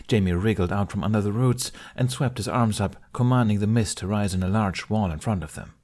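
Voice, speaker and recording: A man reading a fan fiction story aloud with steady, expressive storytelling. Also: on the low side, brisk. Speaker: podfic reader. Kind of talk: fanfiction audiobook narration.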